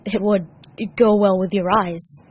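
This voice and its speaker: A woman's voice: medium pitch, fairly even intonation, measured pace, a little mellow, close to an everyday voice. Woman voicing a blunt young male hedgehog. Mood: tender, sheepish.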